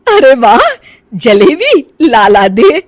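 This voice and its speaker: A woman's voice: on the high side, sing-song, very slow, no room sound, clean studio sound, heavily acted. A woman voicing an elderly, emotional and sulky mother-in-law in a cartoon moral story. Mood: delighted.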